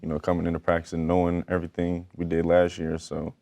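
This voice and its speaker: Young man from Japan, basketball player, deep gravelly voice, short trailing answers. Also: monotone, fast talker, warm tone. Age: young adult. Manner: brief, low-key, trails off with "so". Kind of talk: casual interview answers.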